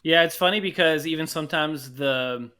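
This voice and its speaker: A man's voice: a little high, normal speed, pushed and bright, smooth. A man chatting casually as a podcast guest, telling anecdotes about his work. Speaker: podcast guest, audio drama producer.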